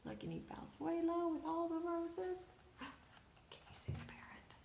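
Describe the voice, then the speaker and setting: A woman reading a children's story aloud expressively, pausing to chat and ask the young listeners questions. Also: extremely high pitch, steady tone, gravelly, a little mellow, roomy sound, whispered. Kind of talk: animated children's read-aloud.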